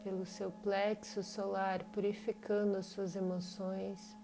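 A woman with a Brazilian accent leads a guided meditation in short, unhurried phrases separated by long pauses. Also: medium pitch, little pitch movement, noisy background. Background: meditation music with singing bowls.

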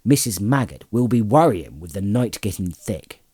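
A man's voice: on the low side, sing-song, normal speed, warm tone, breathy edge, no room sound, studio quality. A man voicing a blunt, hearty old farmer with a rustic, homely way of talking in a storybook reading. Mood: hearty, relieved. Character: gruff, plain-spoken, hearty old country farmer. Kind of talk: audiobook character voice.

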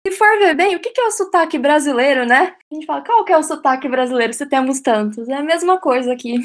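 High-voiced chatty woman. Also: lively intonation, a little fast. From Brazil. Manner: chatty, explains at length with frequent "né?" and light laughs. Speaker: guest, professional ballerina living in England. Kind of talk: casual interview answers.

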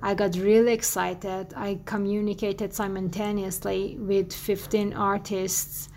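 A woman from Iran with an Iranian accent, a native Farsi speaker, answering interview questions in halting English and searching for words. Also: medium pitch, flat delivery, darkish tone, slight background noise. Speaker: guest artist.

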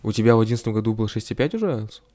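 A man's voice: fairly low pitch, expressive, very fast, a crowd in the background. A man hosting a casual podcast, reacting with slangy, repeated agreement and short questions. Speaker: podcast host.

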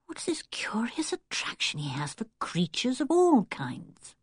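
Woman acting out young girl, anxious, fretful, squeamish, lively intonation, children's storybook, dry recording. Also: mid-range voice, moderate pace, breathy edge, no room sound. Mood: bitter musing. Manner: fretful, expressive.

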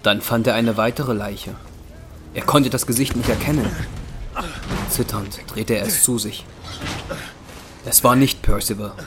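A man's voice: mid-range voice, steady tone, measured pace, long pauses, faint music behind. A man narrating a German audio drama in a scripted, dramatic storytelling style.

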